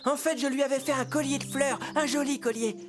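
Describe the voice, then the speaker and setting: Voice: high-pitched, steady tone, a little fast, music in the background, natural voice. Naive, sweet little dwarf voiced by an actor. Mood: cheerful, naive. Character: simple, sweet, scatterbrained male dwarf.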